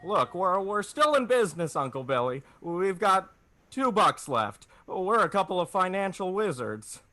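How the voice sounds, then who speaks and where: Small-town family man played by a man for 1940s-style radio drama: earnest, hesitant, animated, slightly high-pitched. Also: measured pace, noisy background. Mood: excited. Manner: stammering, hesitant, folksy.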